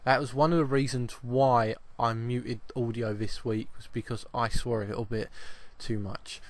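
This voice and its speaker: A man, slightly croaky with a cough, giving chatty football video game commentary and often repeating his first words. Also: mid-range voice, steady tone, moderate pace. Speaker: gaming channel host.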